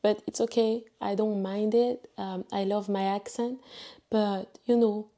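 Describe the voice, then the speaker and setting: A young woman from Ivory Coast with a French accent, telling a personal faith testimony in a halting way, with many fillers and restarts. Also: medium pitch, steady tone, warm tone. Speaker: vlogger sharing a testimony.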